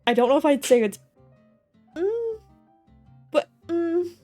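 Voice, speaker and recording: A woman's voice: very high-pitched, big pitch swings, slow, warm tone. A woman doing casual live video game commentary, thinking aloud in a playful way. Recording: faint game music behind the voice. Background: game soundtrack.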